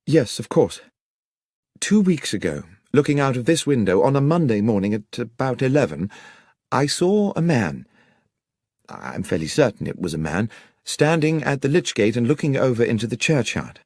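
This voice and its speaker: A man's voice: medium pitch, big pitch swings, moderate pace, darkish tone, no room sound, clean studio sound. A man voicing an anxious, courteous young country clergyman in an audiobook, speaking in articulate, polite, wordy sentences. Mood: earnest, recounting. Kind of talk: audiobook character dialogue.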